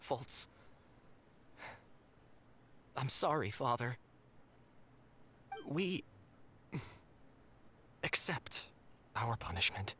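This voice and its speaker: A man's voice: mid-range voice, sing-song, extremely slow, pauses often, pushed and bright, a little hiss or hum, full character voice. A man voicing a resigned young orphan in a video game, solemn and contrite as he owns up.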